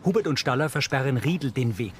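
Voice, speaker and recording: A man's voice: medium pitch, animated, fast talker, heavy background noise. A man narrating scenes in terse, neutral sentences as a scripted audio description. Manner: neutral, matter-of-fact.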